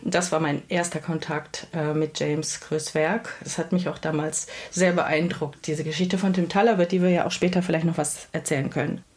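A woman author answering interview questions at a measured pace, often pausing to search for words. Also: sits low, steady tone, darkish tone. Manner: measured, with frequent hesitations. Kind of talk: thoughtful radio interview answers.

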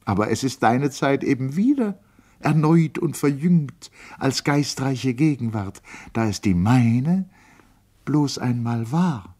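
A man reading aloud and voicing a proud, wounded, sharp-tongued older woman in an audiobook, lifting his pitch for her lines. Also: animated, slow. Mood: reproachful.

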